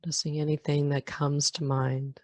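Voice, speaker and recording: A woman guiding a meditation as a teacher, giving calm, gentle instructions with long pauses between phrases. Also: sits low, no room sound, clean studio sound. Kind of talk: guided meditation.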